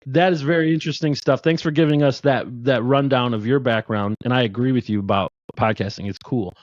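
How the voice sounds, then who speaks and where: Excitable podcast host man, dry lo-fi. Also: medium pitch, brisk, no room sound. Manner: enthusiastic, joking, many restarts. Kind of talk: casual interview chat. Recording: dull dry lo-fi sound.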